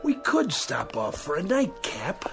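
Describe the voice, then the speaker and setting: Courtly drunk old-style gentleman, creaky voice, swoopy delivery, radio drama, music under. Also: a little high, huge pitch swings, a little slow, exaggerated voice. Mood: tipsy, friendly. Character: courtly, tipsy gentleman, friendly and full of wonder. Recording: music behind the voice.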